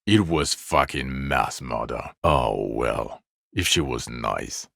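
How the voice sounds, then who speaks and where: An actor voicing a bitter, exasperated police detective in a video game, with scornful, biting sarcasm. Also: male voice, rumbling low, expressive, slow, creaky, a bit bright, no room sound, clean studio sound, exaggerated voice. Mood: grim, accusing.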